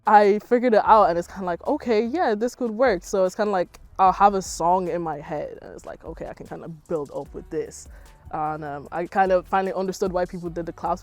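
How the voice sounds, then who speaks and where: A young Irish woman, an athlete, chatting casually about her running, full of "like" and lively retellings. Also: on the low side. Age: young adult. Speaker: athlete interviewee. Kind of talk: casual interview answers.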